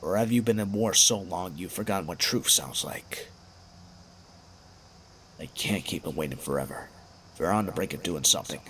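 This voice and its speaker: Guy as robot civilian leader, low voice, earnest n persuasive, some noise behind. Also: moderate pace, long pauses, a bit bright. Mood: warning. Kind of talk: audio drama acting.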